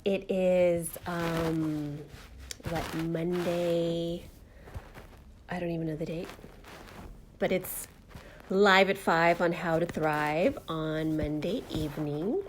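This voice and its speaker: Woman, lowish voice, nasal from a cold, gentle heartfelt live-stream host, lots of ums. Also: steady tone, takes it slowly, pauses often, slight background noise. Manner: heartfelt, gentle, many fillers. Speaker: host of a live online talk show. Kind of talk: casual live-stream monologue.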